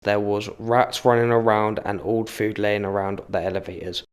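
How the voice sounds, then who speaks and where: A man's voice: fairly low pitch, fairly even intonation, normal speed, clear, resonant tone. A young man, speaking candidly in personal testimony for a short film.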